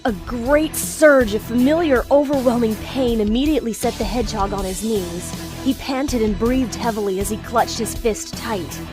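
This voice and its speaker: A woman's voice: a little high, moderate pace, few pauses, over loud music. A woman narrator reading a scripted story in an audio drama.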